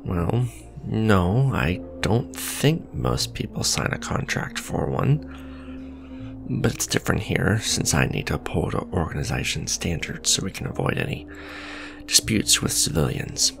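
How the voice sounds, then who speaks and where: A man acts out a male supervillain on a blind date in an ASMR audio drama. His voice is very low and gravelly, with lively swings in pitch. He comes across as blunt yet awkward, hesitant and businesslike. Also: measured pace, over music, full character voice. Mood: reassuring, casual. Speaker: voice actor. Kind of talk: ASMR romantic roleplay acting.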